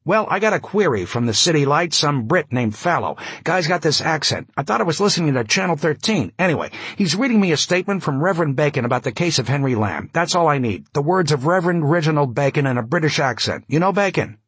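A man voicing a fast-talking male press secretary with a breathless, clipped old newspaperman's patter. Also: on the high side, lively intonation, fairly bright tone, breathy edge, no echo, pitched above the performer's own voice. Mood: brisk.

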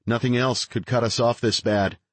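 Worried army comms tech, done by a male narrator, higher-pitched, urgent clipped reports, audiobook thriller. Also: steady tone, moderate pace, no echo, lightly acted. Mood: alarmed, urgent. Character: anxious male military communications technician reporting trouble.

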